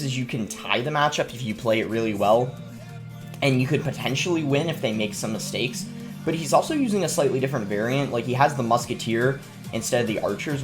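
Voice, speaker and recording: Young male streamer, mid-pitched, congested from a cold, easygoing play-by-play over soft game music. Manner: relaxed, explanatory play-by-play with many fillers. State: sore throat and stuffy nose from a cold. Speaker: gaming streamer. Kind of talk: live gameplay commentary. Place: indoor room at a gaming desk.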